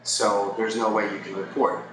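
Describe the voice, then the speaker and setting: A man's voice: lowish voice, level tone, normal speed. A man giving casual, explanatory advice to viewers in a conversational way, as a vlogger. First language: English. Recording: echoey space with crowd chatter behind.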